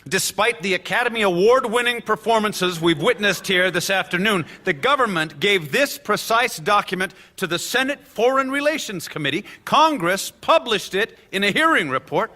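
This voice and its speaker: A man's voice: sits high, animated, normal speed, hardly any pauses, pushed and bright. An actor playing a male newspaper lawyer who argues formally, with small hesitations, in an audio drama. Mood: persuasive. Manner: formal courtroom argument with hesitations.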